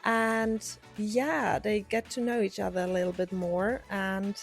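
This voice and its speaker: A woman's voice: mid-range voice, level tone, unhurried, gravelly, soft background music. Enthusiastic woman chatting casually about her thoughts. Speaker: book vlogger. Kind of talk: casual chat to camera.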